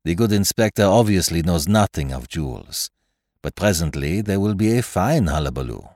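Storybook sleuth, played by a man: deep voice, unruffled, formal, quietly shrewd. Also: lively intonation, dry recording. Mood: shrewd, assured. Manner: dry, measured, formal. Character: calm, courteous, shrewd foreign male detective.

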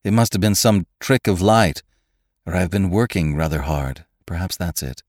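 A man playing a frightened, stubborn, highly strung husband in a story, agitated and insistent. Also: expressive, normal speed, a little mellow, no room sound, studio quality. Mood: shaken, apologetic.

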